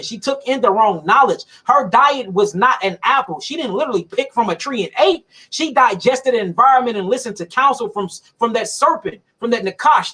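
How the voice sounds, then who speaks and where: A man's voice: very high voice, a bit bright, husky. A man giving an emphatic, preachy monologue about health, speaking colloquially, repeating his points and laughing often.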